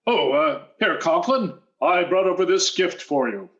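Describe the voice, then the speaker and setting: Actor as hearty male school official, unhurried, animated, roomy echo, radio comedy. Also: high voice, lo-fi sound. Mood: jovial. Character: jovial, self-important male head of school board. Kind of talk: 1940s radio sitcom acting on stage.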